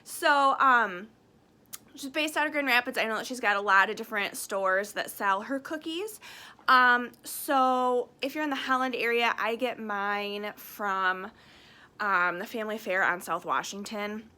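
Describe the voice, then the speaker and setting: A woman speaking casually and reading a picture book aloud to children in an expressive, friendly way. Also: a little high, measured pace. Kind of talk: casual chat and children's storybook read-aloud.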